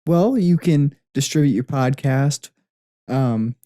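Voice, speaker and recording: A man's voice: mid-range voice, slow, warm tone. A man co-hosting a podcast in casual chat, laid-back and laughing often. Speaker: podcast co-host.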